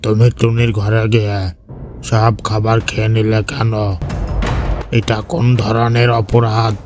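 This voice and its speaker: A man's voice: on the low side, monotone, slow pace, over music. A man voicing a male tiger, the thieving king of the forest, declaiming in a children's fairy-tale cartoon. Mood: stern.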